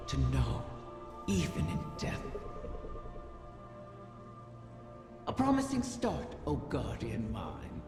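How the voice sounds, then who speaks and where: Sly ancient dragoness in cavernous reverb. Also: female voice, extremely low pitch, creaky, full character voice. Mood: sly, musing. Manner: theatrical, drawn-out, mocking delivery. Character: ancient female wish-granting dragon, sly, taunting and imperious. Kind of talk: video game voice acting. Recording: long cavernous reverb under loud music.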